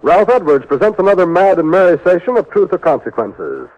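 A male radio announcer in the 1950s manner. His fairly high voice swings widely with theatrical, polished showmanship. The broadcast sounds muffled and old. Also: very animated, fairly quick. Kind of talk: 1950s radio announcing, dramatic scripted promotion.

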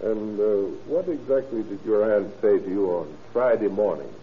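An actor playing a firm, reasoning police detective in an old-time radio drama, on a muffled, hissy recording. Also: male voice, on the low side, normal speed, close to an everyday voice. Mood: firm, insistent.

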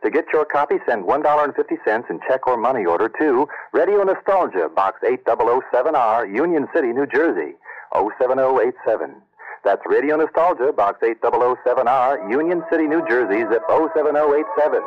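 A man's voice: medium pitch, animated, fairly quick, close to an everyday voice. A man playing a radio show host, reading an old-time mail-order advertisement. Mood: measured salesmanship. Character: male horror-show host pitching a nostalgia magazine. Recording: dull, muffled radio recording.

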